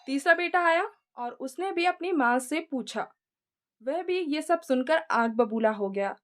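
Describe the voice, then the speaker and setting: A woman's voice: high voice, lively intonation, measured pace, a bit bright. A woman storyteller narrating a scripted moral tale.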